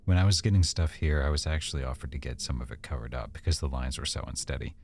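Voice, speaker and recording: Very deep, gravelly boyfriend voice acted by a man. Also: slight background noise. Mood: fond, intimate. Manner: soft-spoken, casual, hesitant pauses and trailing thoughts. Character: affectionate, easygoing boyfriend, reflective and intimate. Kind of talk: boyfriend roleplay ASMR, one-sided intimate conversation.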